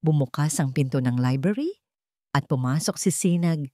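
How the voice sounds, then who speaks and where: A woman's voice: deep voice, lively intonation, normal speed, dark tone, dry recording, studio quality. A woman reading scripted narration for a dramatic story in the manner of a storyteller.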